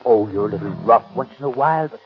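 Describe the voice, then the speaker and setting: A man's voice: mid-range voice, fast, close to an everyday voice. An actor playing an unhinged zookeeper in a 1930s radio drama, crooning fondly and boastfully to his gorilla. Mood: fond, amused. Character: gloating, unhinged male keeper talking fondly to his gorilla. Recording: muffled, noisy 1930s radio recording.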